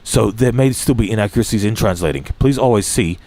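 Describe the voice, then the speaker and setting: Guy on stream reading tech news out loud, kinda low voice, stumbles and clears his throat a lot. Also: no echo. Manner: halting, frequent restarts and throat clearing. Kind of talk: reading articles aloud with asides.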